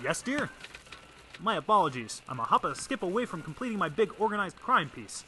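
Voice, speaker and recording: An actor playing an excitable, naive newspaper reporter in an old-time radio drama, exclamatory and gee-whiz. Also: male voice, high-pitched, fairly quick, a little hiss or hum, exaggerated voice. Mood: chipper.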